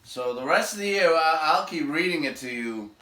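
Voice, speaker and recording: A man's voice: a little high, lively intonation, normal speed, pushed and bright, a little hiss or hum. A man reading a storybook aloud to listeners, moving between narration and casual remarks. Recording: roomy echo, distant from the mic.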